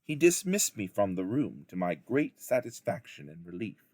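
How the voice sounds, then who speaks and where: A man reading a story aloud like a teacher to children, in measured, deliberate phrases with dramatic emphasis. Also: lowish voice, sing-song, a little mellow, dry recording. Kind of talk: expressive storybook narration.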